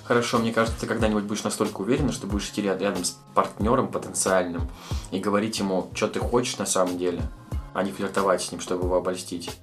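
Young man, lowish voice, rapid-fire and preachy, podcast co-host with faint music underneath. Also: steady tone. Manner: lecturing, quick and provocative. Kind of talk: casual podcast chat.

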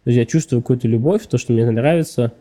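Guy rattling along fast on a podcast, very dark mellow tone, hedges a lot. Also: medium pitch. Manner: quick, hedging, thinking aloud. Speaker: podcast guest. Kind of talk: casual podcast interview talk.

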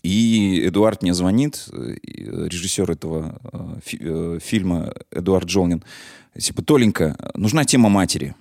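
A man's voice: deep voice, normal speed, vocal fry. A man speaking as an interview guest, telling anecdotes casually, acting out dialogue and dropping slangy asides. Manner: animated retelling with acted-out dialogue and slangy asides.

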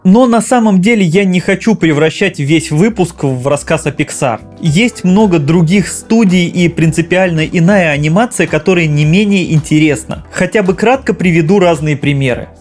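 A man's voice: sits high, normal speed, few pauses, darkish tone, faint music behind. A man delivering scripted commentary as a film critic, explaining and giving his own opinions. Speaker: film critic hosting a video essay. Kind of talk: scripted narration.

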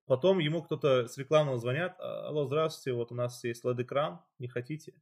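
A man's voice: mid-range voice, big pitch swings, a little fast, gravelly, darkish tone. A man hosting a casual chat, explaining at length with loose phrasing and fillers. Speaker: podcast host.

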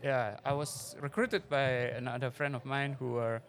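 A man's voice: mid-range voice, steady tone, moderate pace, a bit bright. Middle-aged man, hesitant guest. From Indonesia. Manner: hesitant, many fillers, non-native phrasing. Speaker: guest, venture investor. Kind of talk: interview answers. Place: conference forum venue.

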